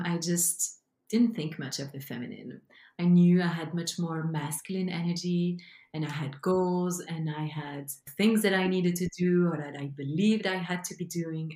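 Belgian French-accented woman, low voice, animated storyteller, roomy echo. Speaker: guest. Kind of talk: storytelling interview.

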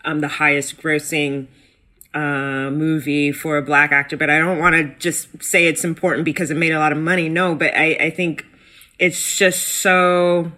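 Bright-voiced animated woman co-host. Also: moderate pace. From United States. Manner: animated, pitch jumps when excited, many fillers. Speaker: guest co-host. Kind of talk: casual podcast conversation.

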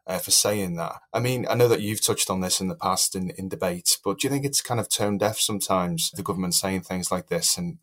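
A man's voice: low voice, brisk, hardly any pauses, fairly bright tone. A man working as a podcast journalist, putting informal, discursive questions in a political interview.

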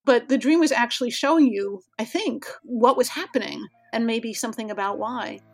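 Woman, rabbi interviewee, calm and reflective, dry close sound. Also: a little high, normal speed, no room sound, soft background music. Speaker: rabbi and dreamwork scholar.

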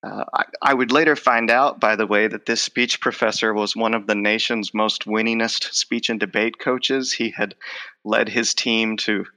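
A man's voice: fairly low pitch, fairly even intonation, normal speed, pushed and bright. A man in his forties, a speech professor who stutters, repeating words and restarting as he answers interview questions. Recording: long hall reverb.

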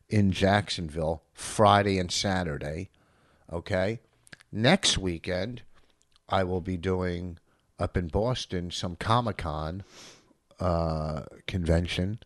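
Animated, jokey man hosting a podcast. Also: deep voice, unhurried, dry recording, clean studio sound. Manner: animated, laughing, jokey rambling. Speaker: podcast host and comedian. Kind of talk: casual chat.

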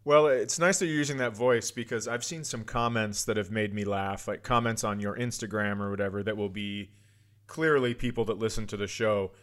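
A man's voice: on the low side, steady tone, brisk, a bit bright. Self-deprecating man telling comic stories. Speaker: podcast host, comedian. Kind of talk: casual comedic banter and storytelling.

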